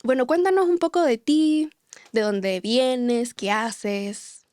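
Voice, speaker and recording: A young woman with a Chilean Spanish accent hosts a conversational podcast, speaking reflectively and often checking in with tag questions. Her voice sits fairly high, and the recording is dry and close. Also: takes it slowly, darkish tone, no echo. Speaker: host.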